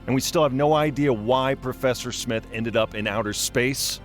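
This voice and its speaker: A man's voice: mid-range voice, normal speed, faint music behind, natural voice. A man playing a harried, exasperated corporate boss giving orders in an audio drama.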